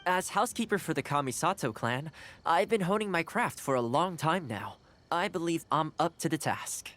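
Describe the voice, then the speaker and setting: A man's voice: a little high, lively intonation, moderate pace, a bit bright, a little hiss or hum. An actor playing a courteous, earnest young male housekeeper in a video game, speaking politely and formally. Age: young adult.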